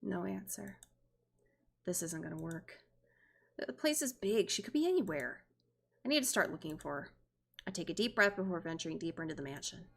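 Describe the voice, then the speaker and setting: A woman's voice: on the low side, animated, fairly quick, gravelly, long pauses, a little hiss or hum. A woman streamer reading a visual novel aloud, expressively and theatrically, with joking asides. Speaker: streamer, virtual YouTuber.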